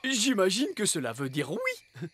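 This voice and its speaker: An actor playing a jolly, dim know-it-all creature in a French cartoon, ponderous and self-satisfied with a husky voice. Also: medium pitch, huge pitch swings, measured pace, a bit bright, a little hiss or hum. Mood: proudly explaining.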